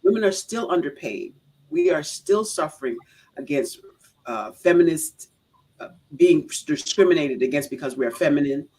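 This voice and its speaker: A woman's voice: deep voice, lively intonation, normal speed, a little mellow, breathy edge, poor audio. A woman with an American accent hosting a talk show in a casual, affirming way, repeating phrases for emphasis. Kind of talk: casual conversational interview.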